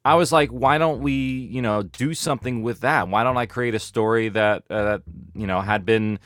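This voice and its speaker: A man's voice: mid-range voice, normal speed, fairly bright tone, no room sound, clean studio sound. A man speaking as an interview guest, chatting casually and explaining things through anecdotes. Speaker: interview guest, audio fiction producer.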